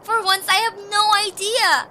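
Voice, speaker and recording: A woman's voice: very high voice, steady tone, a little slow, sharp tone, full character voice. A woman playing a plucky, slightly sarcastic young adventurer in a fan audio drama. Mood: puzzled, determined. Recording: music clearly behind.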